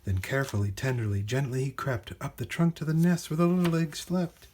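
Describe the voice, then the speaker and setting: A man's voice: mid-range voice, expressive, brisk, a little mellow, a little hiss or hum. A man reading a rhyming children's storybook aloud in a theatrical, rhythmic, emphatic way.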